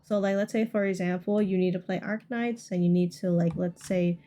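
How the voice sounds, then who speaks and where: Mellow-voiced woman streaming a game. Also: lowish voice, steady tone, a little fast, slight background noise. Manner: rambling, conversational. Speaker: livestreamer. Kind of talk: casual gameplay chat with stream viewers. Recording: game music playing behind voice. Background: video game music.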